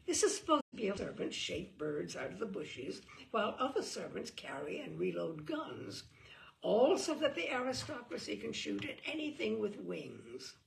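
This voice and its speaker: A woman's voice: medium pitch, creaky, poor audio. A woman giving an arch, theatrical, mock-serious reading of a humorous book. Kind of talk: theatrical reading aloud of comic prose.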